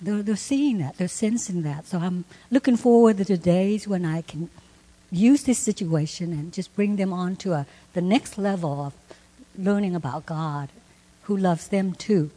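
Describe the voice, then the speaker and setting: A woman's voice: lowish voice, mellow. Earnest woman in a reverberant church. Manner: earnest, halting, emotional. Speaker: church speaker giving thanks. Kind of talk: heartfelt church remarks. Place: church sanctuary. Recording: long hall reverb.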